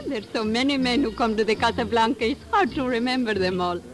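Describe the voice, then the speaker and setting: A woman playing a young, alluring Latin dance-hall girl with a Spanish accent and formal, halting phrasing in a 1940s radio drama. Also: fairly high pitch, lightly acted. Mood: coy, then earnest. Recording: old radio broadcast recording, music behind.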